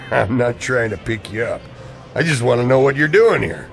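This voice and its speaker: A man's voice: mid-range voice, animated, normal speed, vocal fry. An actor playing an edgy, secretive man in radio play dialogue, coy and evasive with an old friend. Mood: friendly, teasing. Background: music.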